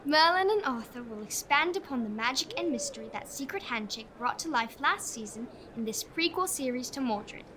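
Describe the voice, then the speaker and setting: A woman's voice: high-pitched, expressive, normal speed, few pauses, noisy background. A woman playing a cheeky, impulsive young pickpocket in a comic audio drama, playful and theatrical. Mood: eager announcing. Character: Ruby, cheeky, impulsive young female pickpocket, barely literate.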